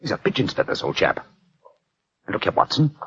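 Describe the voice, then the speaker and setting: An actor playing a brisk, keen-minded detective in an old radio drama, reasoning aloud in a crisp, commanding way. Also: male voice, fairly low pitch, sing-song, a bit bright, exaggerated voice. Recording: dull old radio recording with clear hiss.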